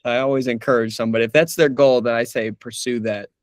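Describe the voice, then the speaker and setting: A man's voice: medium pitch, quick pace, no echo, studio quality. A young man, a voice actor, chatting casually in an interview, laughing often and restarting his sentences. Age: young adult. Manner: relaxed, laughs often, many restarts and fillers. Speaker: voice actor guest.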